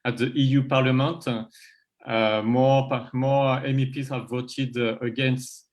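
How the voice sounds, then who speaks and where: A man with a French accent presenting a climate proposal on an online panel, halting and full of fillers. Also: medium pitch, little pitch movement. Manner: halting, many fillers and restarts. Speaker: campaign presenter.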